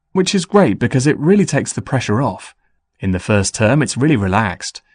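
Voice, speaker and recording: A man playing a busy, friendly young business student in a scripted, clearly enunciated listening-test dialogue. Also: on the low side, no echo.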